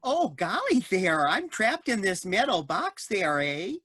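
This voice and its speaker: Casting: earnest Canadian-accented cop stuck in a machine, raspy, swooping, exclamatory, dry close sound. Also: female voice, medium pitch, sing-song, a little slow, a bit bright, no echo, exaggerated voice. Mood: bewildered, earnest. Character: folksy trapped Canadian cop consciousness inside a robot box. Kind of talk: comedic audio drama acting.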